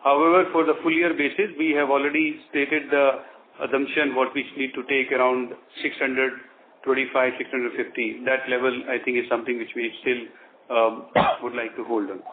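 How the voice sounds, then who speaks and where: A man's voice: mid-range voice, little pitch movement, moderate pace. A man with an Indian accent explaining business figures off the cuff as a finance executive on an earnings call. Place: conference call. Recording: thin phone line with noise.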